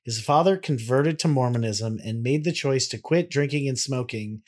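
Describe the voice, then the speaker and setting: A man's voice: mid-range voice, moderate pace. A man co-hosting a podcast in a rambling, slangy, sweary way, moving between casual banter and reading aloud. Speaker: podcast co-host.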